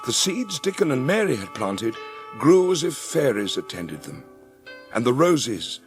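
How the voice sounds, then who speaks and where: A man narrating a dramatised storybook, expressive and savouring his phrases. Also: mid-range voice, a little slow. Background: music.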